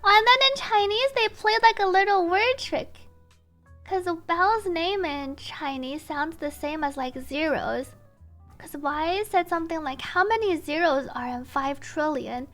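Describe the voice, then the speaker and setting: A woman with an extremely high-pitched voice streams her casual reactions to a video game's story scenes. She chats informally as she follows along. Music plays behind her voice. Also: measured pace, fairly bright tone. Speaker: streamer reacting to game cutscenes. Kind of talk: casual reaction commentary mixed with reading game dialogue aloud. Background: game music.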